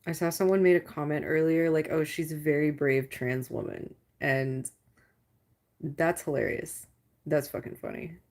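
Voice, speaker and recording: A young adult woman with a deep, gravelly low voice chats with her livestream viewers. She rambles, swears casually and fills her talk with "like" while telling stories. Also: steady tone, normal speed, a little mellow. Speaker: livestream host.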